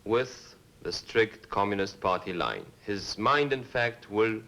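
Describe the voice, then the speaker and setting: A man, an army corporal, explains in a calm, steady way. His voice is low but bright and forceful, heard on an old film soundtrack. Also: takes it slowly, creaky. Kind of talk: scripted explanation.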